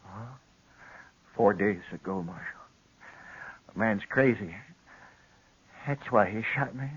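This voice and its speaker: An actor in a 1950s radio western playing a wounded lawyer, speaking weakly and haltingly in a breathy voice. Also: male voice, on the low side, fairly bright tone, full character voice. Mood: weak, accusing. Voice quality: husky, breathy. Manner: halting, feeble. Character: wounded, weak frontier lawyer telling his tale. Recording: dull old radio recording.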